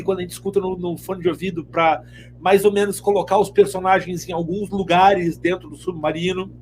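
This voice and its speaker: A man's voice: high-pitched, slight background noise. A Brazilian man casually explaining his work as a sound editor in an interview.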